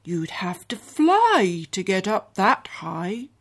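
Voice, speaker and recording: Woman doing a slow worried boy pig, always doubting. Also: medium pitch, very animated, breathy edge, exaggerated voice. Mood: doubtful. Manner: doubtful, fretting. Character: fussy cautious male pig, gloomy worrier.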